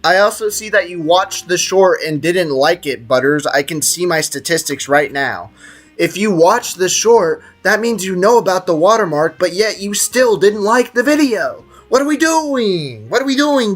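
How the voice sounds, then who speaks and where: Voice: medium pitch, sing-song, moderate pace. A game streamer chatting casually on a livestream in a teasing, slangy, mock-stern way. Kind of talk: casual livestream chat over gameplay. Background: faint game music.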